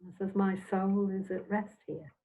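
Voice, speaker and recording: An older woman from Scotland, a semi-retired minister, reminiscing in a halting, meandering, reflective way as an interview guest. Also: mid-range voice, steady tone. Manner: halting, meandering delivery with trailing phrases. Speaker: interviewed Spiritualist minister and medium. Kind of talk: reflective, anecdotal chat. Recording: dull, phone-like audio.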